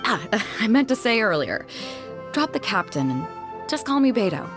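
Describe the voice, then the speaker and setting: A woman's voice: mid-range voice, sing-song, moderate pace. An actress playing a bold, easygoing female sea captain in a video game, breezy, informal and confident. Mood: friendly. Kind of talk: video game character voice acting. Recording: music behind the voice. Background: game music.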